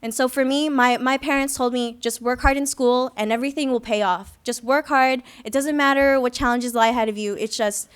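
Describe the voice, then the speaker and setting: A woman's voice: sits high, fairly even intonation, a little fast, few pauses, clean tone. A young woman from the Philippines giving an earnest, reflective personal testimony at a conference, with light self-deprecating humor. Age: young adult. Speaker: student activist speaker. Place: conference hall.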